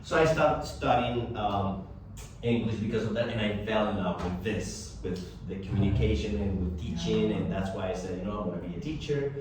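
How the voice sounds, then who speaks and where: A man's voice: on the low side, fairly quick, hardly any pauses. Forties man from Colombia, native Spanish speaker, playful teacher; far-off mic in a noisy, echoey classroom. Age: 40s. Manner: joking, lively, asks students questions. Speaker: English teacher. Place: language school classroom.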